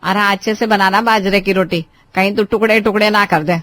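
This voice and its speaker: A woman's voice: medium pitch, fairly even intonation, brisk, fairly bright tone, no room sound, natural voice. A woman playing a bossy, scolding middle-aged mother-in-law in a dramatized family story. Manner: scolding, overbearing, theatrical.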